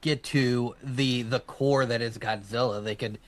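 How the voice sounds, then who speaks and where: An adult man, a dad, co-hosting a live podcast and joking through quick banter. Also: mid-range voice, steady tone, slight background noise. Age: adult, a father. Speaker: podcast co-host. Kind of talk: casual chat.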